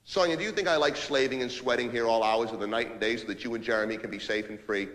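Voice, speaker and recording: A man's voice: medium pitch, fast, a bit bright, lightly acted. An actor playing an abrasive, self-important New businessman, ranting impatiently in a theatrical comic monologue of phone calls. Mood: strained, placating. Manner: impatient, ranting, barking orders and repeating himself. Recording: reverberant hall.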